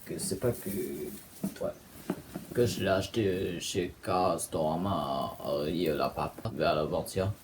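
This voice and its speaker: A congested man speaks haltingly in a lowish voice, stopping and restarting often. He sounds far from the mic in a bare, echoey room at home. A steady noise runs under the recording. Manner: halting, many fillers and restarts. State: congested with a cold. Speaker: vlogger. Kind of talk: casual home video tour.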